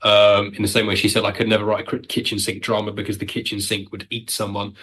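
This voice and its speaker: A man's voice: on the low side, little pitch movement, fast talker, bright, forceful tone, slightly rough. A British man chatting casually as an interview guest, self-deprecating and wry, talking as a writer.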